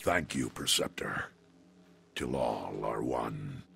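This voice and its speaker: Deep, gravelly, noble robot commander. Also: male voice, expressive, a bit bright, exaggerated voice. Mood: resolute. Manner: solemn, commanding, measured wording. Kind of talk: video game voice acting.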